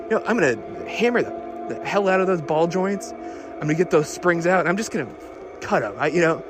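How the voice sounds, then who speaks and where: An actor playing an obsessed, increasingly unhinged car tinkerer recording a rambling, halting audio diary for an audio drama. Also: male voice, on the high side, animated, over music, close to an everyday voice. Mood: agitated, defiant. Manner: halting, nervous, repeating himself, muttering fixations. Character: obsessed man secretly lowering his car, increasingly unhinged.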